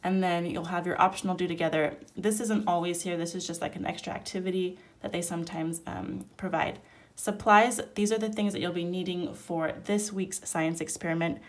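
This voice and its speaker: A woman with a cold who sounds nasal, walking through a homeschool curriculum in a relaxed, chatty way at a table. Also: lowish voice, a little fast. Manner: relaxed, chatty, explaining step by step. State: sick, nasal. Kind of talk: casual product flip-through. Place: indoors at a table, hands-only overhead camera.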